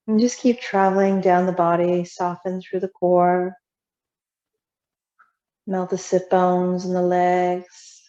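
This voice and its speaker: A woman yoga teacher leading a guided meditation in a soothing, unhurried, gently instructing way with long pauses. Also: lowish voice, little pitch movement. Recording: roomy echo, slightly muffled sound.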